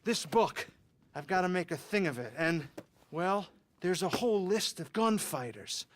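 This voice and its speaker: Eager, high-voiced frontier writer. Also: male voice, measured pace. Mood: pleading. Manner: earnest, wordy, excitable. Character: eager, flustered, bookish Old West biographer. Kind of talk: video game dramatic acting.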